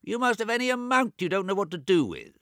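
Brief: a man playing a fussy, money-minded old man near eighty, high thin voice, quick prattle, dry sound. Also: big pitch swings, pushed and bright, no room sound, full character voice. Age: 80s.

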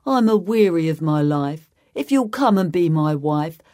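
A woman's voice: deep voice, expressive, measured pace, warm tone. A woman voicing a lonely, small nonsense creature from a storybook poem, earnest and pleading.

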